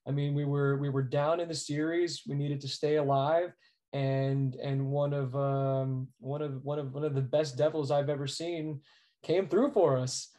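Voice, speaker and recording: A man's voice: fairly high pitch, flat delivery, measured pace, dark tone. An American man telling stories as an interview guest, earnest and emphatic, often repeating words as he goes. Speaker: guest, sports arena announcer. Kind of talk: conversational interview storytelling.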